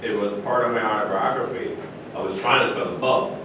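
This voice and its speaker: A man plays a boastful, towering retired basketball star in a staged reading. His lowish voice is self-assured, coming from a distance in a very echoey, rumbling room. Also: steady tone, brisk, background noise, close to an everyday voice.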